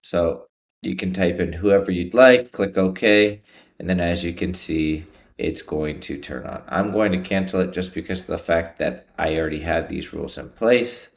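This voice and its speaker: A man presents a software tutorial, explaining each step in an informal way. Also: low-pitched, moderate pace, creaky.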